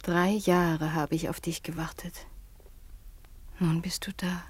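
A woman playing a devoted, frightened princess in a radio drama, tender and halting, her breathy voice often near a whisper. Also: on the low side, level tone, no room sound. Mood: anxious. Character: devoted, frightened young daughter of a Roman emperor. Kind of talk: theatrical German radio drama acting.

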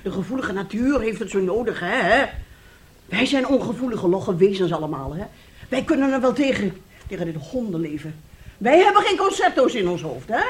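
A woman's voice: mid-range voice, sing-song, brisk, lightly acted. Woman acting older scheming mum in a radio play, fussy and effusive, voice swinging all over. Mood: bitterly sarcastic. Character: fussy, scheming older mother, gushing then bitterly nagging.

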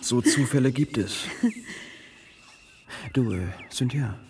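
A man acting in a radio play as a casual skeptic, with offhand, naturalistic, halting conversation. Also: mid-range voice, darkish tone, a little hiss or hum, close to an everyday voice. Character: casual, skeptical man sheltering from rain with a woman.

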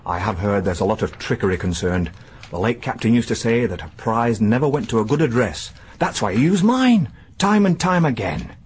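An actor playing a wary, deferential manservant in a radio drama, gloomy and respectful. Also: male voice, mid-range voice, lively intonation, normal speed, breathy edge. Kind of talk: 1980s BBC radio drama acting.

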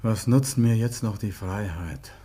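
An actor playing an anguished, defensive husband confessing in a radio crime drama, speaking haltingly. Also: male voice, on the low side, dark tone, breathy edge. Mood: resigned, bitter. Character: anguished husband confessing, protective of his wife.

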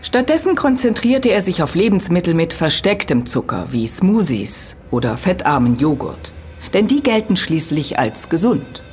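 A woman's voice: on the low side, very animated, moderate pace, a little mellow, slight background noise. A woman playing a middle-aged professor in an audio drama, lecturing precisely and patiently correcting others with firm emphasis. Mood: matter-of-fact. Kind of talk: German audio drama dialogue.

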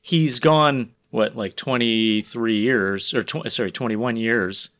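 A man with an average-pitched voice talks as a podcast co-host. He explains in an informal, slightly halting way, working out his point as he goes. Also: fairly bright tone. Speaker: comics news podcast co-host. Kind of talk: casual explanatory chat.

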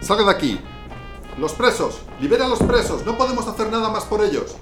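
A man's voice: sits high, big pitch swings, fairly quick. An actor playing a curt, commanding male lieutenant giving urgent orders in a radio drama. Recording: music bed behind.

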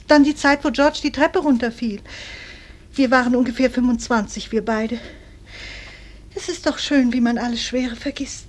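A woman playing a practical, affectionate middle-aged housewife and mother, gently chiding, in a German radio play. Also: a little high, steady tone, normal speed, lightly acted. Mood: wistful.

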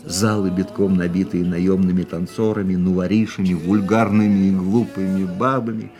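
A male actor playing a world-weary man in a radio play, musing tenderly on the woman he loves in a measured, reflective monologue. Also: sits low, little pitch movement, mellow, close to an everyday voice. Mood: wistful. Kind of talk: literary first-person monologue in a radio play. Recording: music under the voice.

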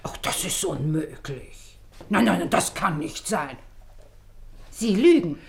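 A woman's voice: lowish voice, expressive, slow pace, creaky, noisy background, full character voice. An actress playing a talkative, anxious middle-aged widowed landlady in a German radio drama, nervously apologetic and easily flustered. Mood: shocked, agitated. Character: chatty, anxious widowed London landlady, music lover.

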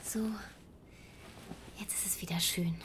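A woman acting in a German radio drama as a weary, guilt-ridden carer, speaking with restraint and often hushed. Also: lowish voice, steady tone, measured pace, whispered, lightly acted. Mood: grave, hushed. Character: weary, guilt-ridden woman caring for her dying aunt. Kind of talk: German radio drama acting, dialogue and intimate inner monologue.

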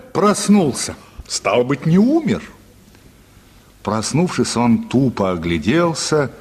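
A man's voice: medium pitch, a little mellow, breathy edge. A man narrating a literary radio play as a dramatic storyteller, with long reverb around his voice.

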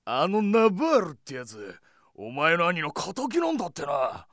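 An actor playing a brash, scheming rogue in a Japanese audio drama. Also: male voice, a little high, huge pitch swings, moderate pace, fairly bright tone, dry recording, studio quality. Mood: goading.

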